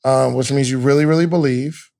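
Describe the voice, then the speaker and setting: A man's voice: medium pitch, steady tone, brisk, creaky, darkish tone. A male podcast host with a mid-range voice keeps the conversation loose with playful, slangy remarks. He asks questions in a casual way and teases his co-host. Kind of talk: casual interview chat.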